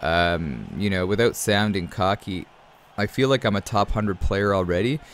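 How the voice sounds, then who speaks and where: Chatty low-voiced man with a cold. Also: moderate pace, a little hiss or hum. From Canada. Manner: chatty, calls viewers man and guys. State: has a cold. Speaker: gaming commentator. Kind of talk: casual gameplay commentary.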